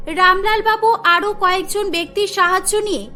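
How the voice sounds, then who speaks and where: A woman narrating a cartoon story in a clear storytelling manner. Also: very high-pitched, moderate pace, pushed and bright, soft background music.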